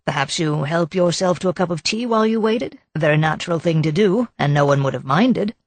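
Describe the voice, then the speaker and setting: A calm, methodical male chief inspector, as a woman reader voices him, asking questions in a steady, probing tone. The voice sits low and slightly husky in a dry close recording. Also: dry recording. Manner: measured, probing.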